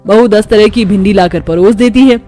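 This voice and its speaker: A woman's voice: mid-range voice, normal speed, warm tone, soft background music. A woman storyteller reading scripted narration of a moral tale.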